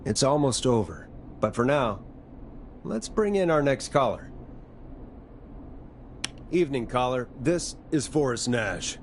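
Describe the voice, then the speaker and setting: An actor plays a caring late-night radio man in a game drama. His pitch is average and his delivery earnest and measured. A little noise sits behind him. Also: expressive, natural voice. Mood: announcing on air. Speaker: radio host.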